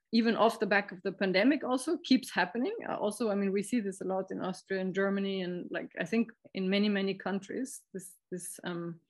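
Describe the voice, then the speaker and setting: A woman moderating an online seminar on a video call, talking in a loose, rambling way with many fillers. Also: fairly low pitch.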